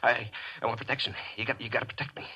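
A man's voice: medium pitch, steady tone, rapid-fire, bright, forceful tone, slightly rough. An actor playing a frightened, guilt-ridden man who narrates his own haunting in a 1940s radio mystery, brooding as he narrates and stammering and pleading in dialogue. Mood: pleading, afraid. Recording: dull vintage radio recording with music.

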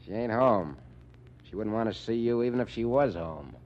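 An actor playing a smirking, surly American mobster in 1940s radio style, slangy and sneering. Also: male voice, lowish voice, animated, normal speed, natural voice. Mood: surly, menacing. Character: smirking, surly male mobster, needling and unwelcoming. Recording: muffled, hissy 1940s radio recording.

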